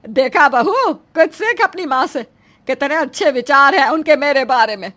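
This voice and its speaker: A woman's voice: sits high, lively intonation, normal speed, pushed and bright. A woman voicing a proud middle-aged mother-in-law in a cartoon story, dramatic, long-suffering and given to scolding. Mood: scolding, mortified. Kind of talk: Hindi moral story cartoon dialogue.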